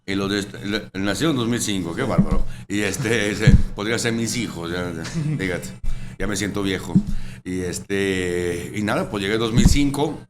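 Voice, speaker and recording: A man's voice: lowish voice, normal speed, loud music behind the voice. A man in his forties from Italy with an Italian accent, a chef and restaurant owner talking casually in an interview, rambling with lots of fillers and tag questions. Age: 40s. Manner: rambling, full of fillers and "¿verdad?". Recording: noisy room ambience.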